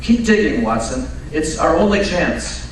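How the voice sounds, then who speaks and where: Male actor for brisk Victorian detective in old-time radio drama, slightly high voice, drily authoritative, distant and faintly noisy recording. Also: big pitch swings. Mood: urgent, commanding. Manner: precise, commanding, drily teasing. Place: live stage performance at radio club event. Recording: very echoey hall, distant mic, faint noise.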